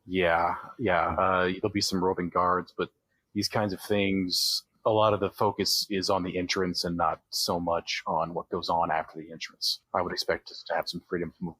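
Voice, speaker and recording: A man's voice: low-pitched, little pitch movement, normal speed, fairly bright tone, slightly rough, rough recording. A man playing a tabletop game, laying out his thinking in a matter-of-fact way. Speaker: player.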